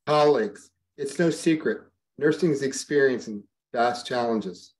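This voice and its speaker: A man reading a prepared campaign speech as a board candidate, steady and serious. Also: mid-range voice, measured pace.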